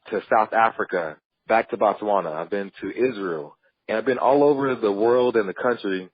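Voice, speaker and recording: A man with a lowish, husky voice speaks as a guest on a podcast. He talks earnestly and sometimes restarts his phrases. The sound is slightly muffled and dry. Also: no echo. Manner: earnest, with some repeated starts. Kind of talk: storytelling interview answers.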